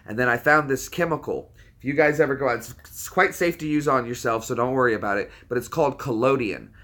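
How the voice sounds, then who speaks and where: A man's voice: mid-range voice, a bit bright. A man in his thirties hosting a livestream, chatting casually with viewers in a rambling, laid-back way. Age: 30s. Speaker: livestream host.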